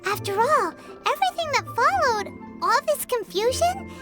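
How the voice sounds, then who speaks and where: A woman voicing a tiny, excitable female fairy companion who talks about herself in the third person, in a video game. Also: normal speed. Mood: eager. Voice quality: high, squeaky, bubbly. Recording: game audio with loud background music.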